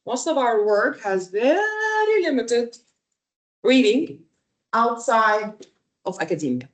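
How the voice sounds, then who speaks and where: Animated woman lecturing on a video call. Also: on the high side, slow. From Lebanon. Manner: conversational, many rhetorical "right?" checks and fillers. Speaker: visiting academic presenting a workshop.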